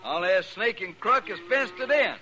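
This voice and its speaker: An American actor playing a desperate, hot-tempered cattle trail boss in a 1950s radio western, blunt and folksy. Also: male voice, sits high, animated, moderate pace, dull audio, over music. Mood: angry. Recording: noisy vintage 1950s radio recording.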